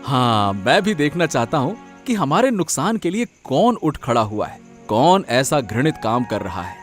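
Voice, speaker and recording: A man voicing a humble, excitable vegetable seller in an animated moral story, eager and wondering, often repeating himself. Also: mid-range voice, measured pace, faint music behind.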